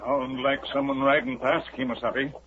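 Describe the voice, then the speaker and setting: An actor playing a loyal frontier companion who speaks in clipped, broken-grammar phrases on a 1950s radio drama. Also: male voice, medium pitch, level tone, normal speed, natural voice. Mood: terse. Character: loyal male frontier companion, terse and watchful. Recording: muffled, hissy 1950s radio broadcast.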